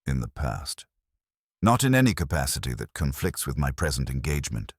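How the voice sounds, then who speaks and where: A man playing a guarded, coolly evasive corporate consultant in an audiobook, speaking smoothly with careful, jargon-laden diction. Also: a bass voice, sing-song, brisk, no room sound, studio quality, full character voice.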